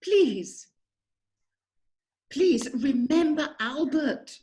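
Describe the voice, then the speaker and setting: A woman playing a passionate aristocratic widow in an amateur play reading, with theatrical, drawn-out delivery. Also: high-pitched, expressive, warm tone, full character voice. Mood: pleading. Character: melodramatic aristocratic lady of the manor, passionate widow.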